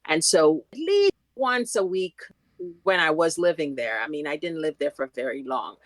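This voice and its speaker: A woman's voice: low voice, big pitch swings, normal speed, no echo. A woman from Trinidad and Tobago co-hosting a podcast, thinking aloud about a TV episode. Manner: reflective, thinks aloud with restarts. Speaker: podcast co-host. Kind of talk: casual rewatch recap chat.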